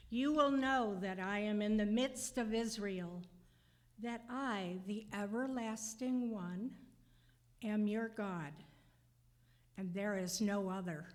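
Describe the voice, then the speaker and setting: Slow, measured woman reading scripture. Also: medium pitch. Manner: measured, careful phrasing. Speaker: scripture reader. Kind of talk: solemn scripture reading in a church service.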